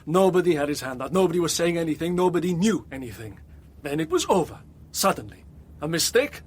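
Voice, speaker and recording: Higher-voiced, suspicious ship's captain. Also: male voice, big pitch swings, fairly quick, a little hiss or hum. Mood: suspicious, indignant. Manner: blunt, wary, questioning in short phrases. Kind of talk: radio drama dialogue.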